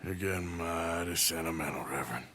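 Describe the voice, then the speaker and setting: An actor playing a weary, blunt Old West outlaw with an American accent and a plain-spoken drawl, in a video game. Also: male voice, low-pitched, a little fast, pushed and bright, a little hiss or hum. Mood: weary, resigned. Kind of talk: dramatic video game cutscene acting.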